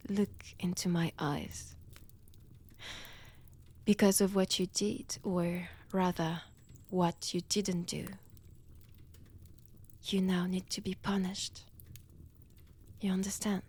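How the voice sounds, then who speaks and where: Breathy, slow French-accented female vampire acted by a woman. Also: lowish voice, level tone, a little mellow, full character voice. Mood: menacing. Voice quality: breathy, often dropping into whispers. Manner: slow, deliberate, theatrical with long pauses. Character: centuries-old female vampire, wounded, obsessive, menacing yet tender. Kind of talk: intimate dramatic roleplay audio.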